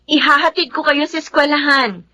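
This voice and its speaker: Caring filipino daughter, high bright voice, radio drama style, insistent and devoted. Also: female voice, fairly even intonation, normal speed. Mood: urgent, reassuring. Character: devoted, reassuring adult daughter urging on her father. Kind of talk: Tagalog radio drama acting.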